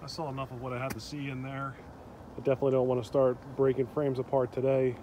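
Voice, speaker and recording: A man with a middle-pitched voice talks calmly and matter-of-factly as he walks past his beehives, describing what he sees. It's a handheld phone recording made outdoors, with clear steady background noise. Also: fast talker. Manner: calm, matter-of-fact commentary. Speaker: beekeeper. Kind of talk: casual narration while filming. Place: outdoors among beehives in snowy yard. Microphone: handheld phone camera.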